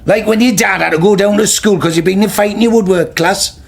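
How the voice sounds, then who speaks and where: An actor playing a blunt, defensive working-class older brother in a radio drama. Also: male voice, sits high, fast talker, gravelly. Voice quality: blunt, combative.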